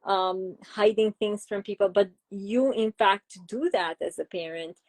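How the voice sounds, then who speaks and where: Woman, Romanian accent, middle-aged, interview guest, explanatory, halting fillers. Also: mid-range voice, a little mellow. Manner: explanatory, uses examples, frequent fillers. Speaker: child psychologist guest. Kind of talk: interview conversation.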